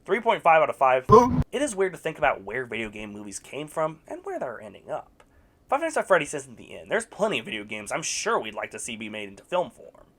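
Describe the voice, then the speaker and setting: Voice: mid-range voice, huge pitch swings, a little fast, a bit bright, a little hiss or hum. A young adult video reviewer delivering scripted movie commentary with sarcastic, blunt verdicts.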